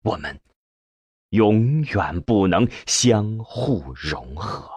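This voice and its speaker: A man's voice: on the low side, very animated, very slow, darkish tone, husky, dry recording. A man narrating a science fiction audiobook in the first person.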